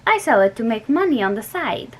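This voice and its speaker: A woman's voice: a little high, very animated, brisk, voice pushed up. A young woman reading aloud and voicing a weary middle-aged male professor with a put-on German accent, speaking emphatically. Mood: earnest. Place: small bedroom indoors. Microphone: webcam at close range.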